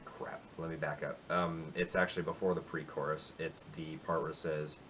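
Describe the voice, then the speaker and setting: A man's voice: a bass voice, level tone, moderate pace, fairly bright tone, lo-fi sound, a little hiss or hum. A man talking casually and reflectively in his home music room about a song he covered, with lots of fillers. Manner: rambling, reflective, many fillers. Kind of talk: casual spoken intro to a cover.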